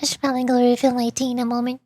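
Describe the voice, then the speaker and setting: High-voiced woman streaming a game. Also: level tone, rapid-fire, mellow, no room sound, clean studio sound. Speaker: streamer voice acting game dialogue. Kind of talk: live gameplay reading with casual asides.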